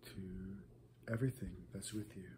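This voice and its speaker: A man leading a guided meditation, speaking softly with long pauses between phrases. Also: low-pitched, fairly even intonation, a little hiss or hum. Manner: slow, soft-spoken, with long pauses.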